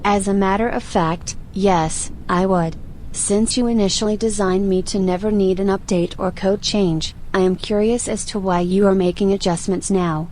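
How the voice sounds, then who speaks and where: A woman's voice: mid-range voice, fairly even intonation, darkish tone, close to an everyday voice. Woman acting a composed, formal female AI, noisy backdrop. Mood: dry, challenging. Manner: formal, measured, matter-of-fact. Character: composed, inquisitive female archive AI with dry attitude. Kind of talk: audio roleplay acting.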